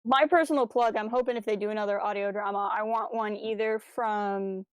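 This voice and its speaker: A woman's voice: mid-range voice, steady tone, a little fast, clear, resonant tone, no room sound. A woman co-hosting a casual fan podcast, chatting with playful, jokey enthusiasm and drifting into asides. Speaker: podcast host.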